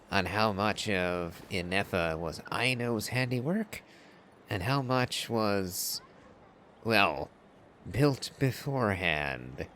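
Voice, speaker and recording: Slow, measured man musing over a game. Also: on the low side, bright, forceful tone. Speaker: game streamer. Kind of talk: casual game commentary.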